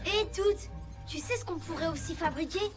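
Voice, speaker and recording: A woman's voice: very high voice, normal speed. A woman voicing a brave, enthusiastic young boy rescue motorbike in a French children's cartoon dub, encouraging like a big brother. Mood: eager. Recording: loud music under dialogue.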